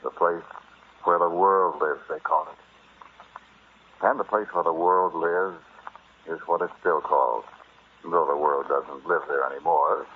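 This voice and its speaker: Deep-voiced, brooding explorer, vintage radio. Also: male voice, husky, heavily acted. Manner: dramatic, brooding, halting delivery. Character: earnest male explorer recounting a dreadful jungle discovery. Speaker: narrator and lead character. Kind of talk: old-time radio horror drama acting. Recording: muffled, noisy vintage radio recording.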